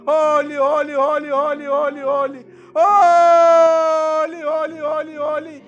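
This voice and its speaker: A man leading a passionate, repetitive prayer as a pastor, often raising his voice to a shout. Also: very high voice, monotone, a little slow, dull audio, soft background music. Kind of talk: fervent spoken prayer and worship.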